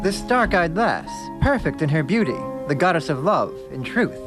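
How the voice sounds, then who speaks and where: A man's voice: on the high side, sing-song, normal speed, over music. A man playing a headstrong, gallant young squire, earnestly speaking archaic rhyming verse in a radio drama. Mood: admiring. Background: musical accompaniment.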